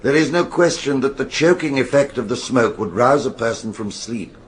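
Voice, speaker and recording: An actor playing an authoritative British forensic pathologist, speaking in a formal, clinical, assured way in a radio courtroom drama. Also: male voice, medium pitch, animated, moderate pace, a little hiss or hum, close to an everyday voice.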